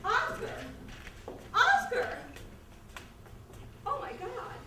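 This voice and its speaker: Lady doing an overwrought pleading actress, really high voice, echoey noisy stage. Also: very animated, very slow, full character voice. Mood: distraught. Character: emotional theatrical actress grieving over a dying man. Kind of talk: staged reader's theatre comedy. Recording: echoey hall, distant, clear noise.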